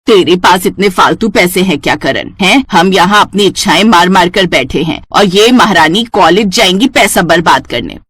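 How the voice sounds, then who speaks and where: A woman's voice: on the low side, animated, moderate pace, hardly any pauses, fairly bright tone, slightly rough, no room sound, clean studio sound. Scornful, nagging mother-in-law voiced by a woman. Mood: scolding. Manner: sarcastic, taunting.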